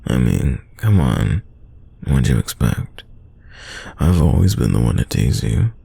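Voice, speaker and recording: Man acting a very deep, gravelly teasing crush. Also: very animated, measured pace, exaggerated voice. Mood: playful teasing. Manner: playful, teasing, soft close delivery. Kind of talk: romantic roleplay audio, intimate one-sided dialogue.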